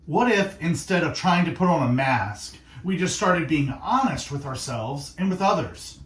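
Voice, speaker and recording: Earnest male radio host, very expressive pitch. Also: echoey room. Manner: earnest, reflective, speaking in short deliberate phrases. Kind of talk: faith-based encouragement monologue on music radio. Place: radio studio.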